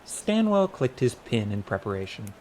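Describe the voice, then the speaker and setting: A man's voice: medium pitch, very animated, moderate pace, a little mellow, slight background noise. A man narrating a comic fantasy audiobook in a wry, scripted storytelling style.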